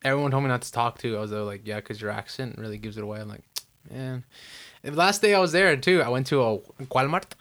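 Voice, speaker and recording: A man's voice: medium pitch, fast, fairly bright tone. A young man from the United States co-hosting a casual podcast, telling stories animatedly and acting out quotes with frequent swearing. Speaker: podcast co-host. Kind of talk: casual chat.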